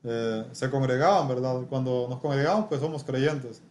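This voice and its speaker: A man preaching a sermon and teaching from scripture, speaking haltingly with frequent rhetorical tag questions. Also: medium pitch, steady tone, a little mellow. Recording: noisy room ambience.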